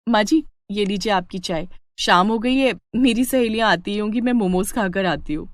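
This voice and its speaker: A woman voicing a lively, food-loving young village woman, chatty and eager, in a storybook tale. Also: mid-range voice, normal speed, no room sound, studio quality, close to an everyday voice. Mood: eager, pleading.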